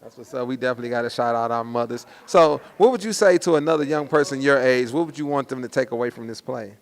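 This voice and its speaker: A man's voice: mid-range voice, quick pace, vocal fry. A man hosting a promotional interview in slangy hype talk, often ending phrases with "man". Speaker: host.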